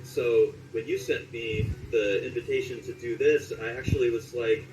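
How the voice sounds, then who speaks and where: A man from Southern California talking casually as an interviewed voice actor, telling anecdotes in an animated way and acting out reactions. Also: medium pitch, moderate pace, distant mic, a little hiss or hum, low-quality recording.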